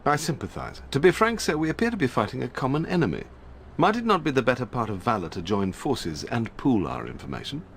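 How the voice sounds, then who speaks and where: An actor playing a dapper, jittery British secret service gentleman in a video game, with a plummy upper-class English accent and fussy politeness. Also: mid-range voice, big pitch swings, brisk, creaky, a little hiss or hum. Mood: diplomatic. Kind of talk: video game cutscene acting.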